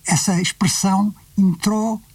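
An older man with a European Portuguese accent talks back over his years in publishing, reflective and fond of repeating a phrase. His voice is mellow and a little husky, and it sits slightly high. It was picked up dry, from some distance off the mic. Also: fairly even intonation, a little slow, no echo. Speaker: retired book publisher, guest. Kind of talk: casual conversation.

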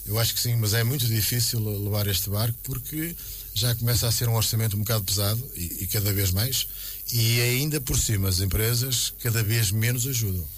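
A man's voice: fairly low pitch, a little fast, slight background noise. A man with a European Portuguese accent answering as a club president in a radio interview, explaining with emphatic repetition.

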